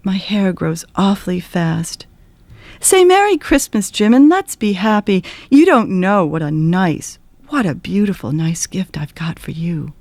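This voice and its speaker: A woman's voice: medium pitch, very animated, unhurried, a little mellow, no echo. A woman voicing a tender, anxious young wife in a storybook reading, pleading earnestly.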